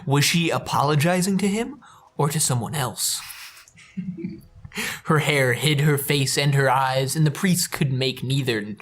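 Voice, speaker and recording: Slow-paced man narrating a story. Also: medium pitch, fairly bright tone, slight background noise. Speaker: narrator in a gaming show. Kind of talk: narration read aloud from a visual novel.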